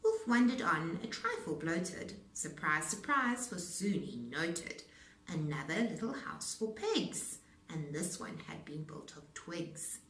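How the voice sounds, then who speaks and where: A woman storyteller reading children's rhymes aloud in a theatrical, rhythmic, deliberate manner. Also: on the low side, big pitch swings, fairly bright tone. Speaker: storyteller, speech-language pathologist. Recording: roomy echo, distant mic.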